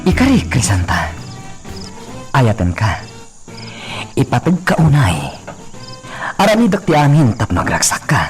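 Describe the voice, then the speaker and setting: A man's voice: medium pitch, darkish tone, lightly acted. A man playing a lovesick, domineering young master in a melodramatic Ilocano radio drama. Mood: ardent, pleading. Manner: melodramatic, pleading.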